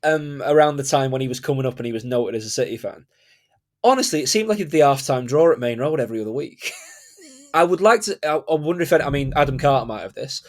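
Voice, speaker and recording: Animated Mancunian podcast host. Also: male voice, medium pitch, fast talker. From Manchester, England. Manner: animated, laughs while telling stories. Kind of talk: casual chat.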